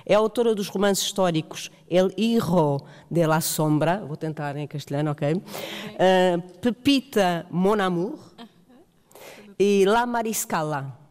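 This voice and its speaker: A man's voice: sits high, measured pace, darkish tone, clean tone. A man moderating a literary event, formally reading out the introductions of the speakers. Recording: long reverb of a large hall.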